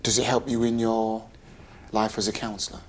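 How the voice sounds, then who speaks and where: A man hosting a local television interview, asking questions and giving quick encouraging affirmations. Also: lowish voice, flat delivery.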